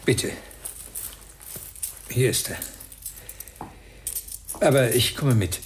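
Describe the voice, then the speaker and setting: A man's voice: mid-range voice, animated, extremely slow. An actor in a German radio crime drama playing an anxious, impatient father who speaks in curt, agitated questions. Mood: strained, subdued. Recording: clear background noise.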